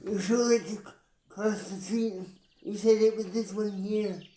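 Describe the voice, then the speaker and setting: A tired and simple-minded mover's helper from a radio play, voiced by a woman. The tone is dark and soft-edged, the talk slangy and grumbling, and the room rings around it. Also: mid-range voice, fairly even intonation, measured pace, echoey room, close to an everyday voice. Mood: puzzled. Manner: slangy, simple, complaining working-class talk. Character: tired, dim, good-natured male moving man's helper. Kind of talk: old-time radio drama acting.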